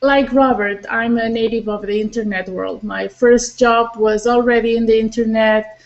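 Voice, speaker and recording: A woman from Catalonia answering interview questions over a video call, steady and enthusiastic. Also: a little high, moderate pace, dull audio. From Catalonia, Spain. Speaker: guest, internet professional.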